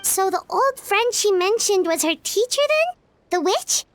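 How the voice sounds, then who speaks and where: A woman's voice: big pitch swings, unhurried, full character voice. A woman voicing a tiny, childlike fairy companion in a video game, earnest and naive. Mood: curious. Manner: squeaky, naive, speaks of herself in third person.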